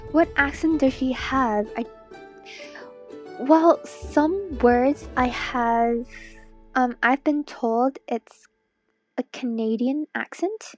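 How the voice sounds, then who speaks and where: High-pitched woman, unhurried American-accented streamer. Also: soft background music. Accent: mostly American. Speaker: streamer, digital artist. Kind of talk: casual livestream chat while drawing.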